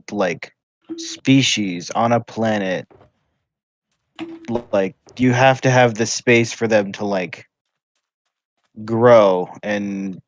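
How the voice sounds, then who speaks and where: A man talking casually with friends on a livestream, halting and full of fillers. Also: lowish voice, no room sound. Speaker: livestream gamer. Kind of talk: casual chat.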